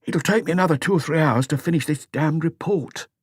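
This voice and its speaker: A man's voice: fairly high pitch, fairly quick, husky, no echo, studio quality, pitched above the performer's own voice. A man voicing an upset, dutiful policeman in an audiobook, speaking hesitantly and deferentially to his superior. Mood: weary. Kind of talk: audiobook character dialogue.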